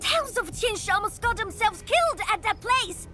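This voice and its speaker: Brash young feline fighter for a game: very high, animated, boastful, playful scrapper. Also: female voice, moderate pace, music in the background. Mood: indignant, demanding. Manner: playful, scrappy, taunting, quirky slangy talk. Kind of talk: video game voice acting.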